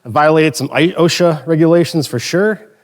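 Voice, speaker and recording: A man's voice: on the high side, moderate pace. Chatty man telling stories on stage. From Philadelphia area, United States. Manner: chatty, joking asides, frequent self-corrections. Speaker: conference speaker. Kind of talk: conference talk with anecdotes.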